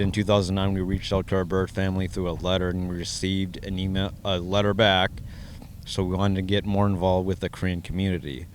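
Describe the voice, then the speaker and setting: An American man giving measured, personal interview answers in an earnest, slightly formal way. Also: sits low, a little hiss or hum. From Minnesota, United States. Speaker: interviewee sharing his adoption story.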